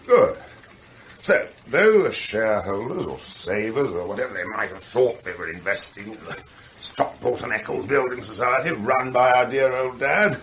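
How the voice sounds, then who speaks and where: An actor playing a flippant, self-satisfied wealthy heir in a radio drama, chatty and offhandedly entitled. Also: male voice, medium pitch, animated, normal speed, fairly bright tone, a little hiss or hum, close to an everyday voice, rough recording. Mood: breezy, cynical.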